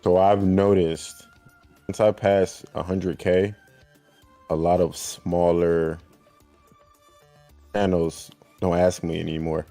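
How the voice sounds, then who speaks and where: A man's voice: deep voice, level tone, slow pace, long pauses, warm tone, dry recording, soft background music. A man guesting on a casual chat, talking in a laid-back, wry, joking way. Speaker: guest YouTuber.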